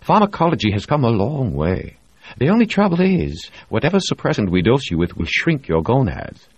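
A man's voice: mid-range voice, sing-song, a little fast, slightly rough, dry recording, highs cut off, pitched above the performer's own voice. A man voicing a sly, leering English ship's doctor who talks in a smug, teasing way. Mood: mock-serious. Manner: smug, teasing, with a crooked grin.

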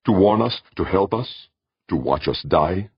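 Tense snappy human leader guy, husky low voice, man reading it, dull dry sound. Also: animated, unhurried, no echo, heavily acted. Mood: urgent. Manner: snappish, urgent. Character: tense human leader facing a grave choice.

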